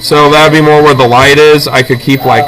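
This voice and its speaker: A man's voice: on the high side, little pitch movement, normal speed. A man teaching drawing hands-on, explaining things patiently and informally. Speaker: art teacher. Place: busy classroom. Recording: noisy room full of chatter. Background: loud crowd chatter.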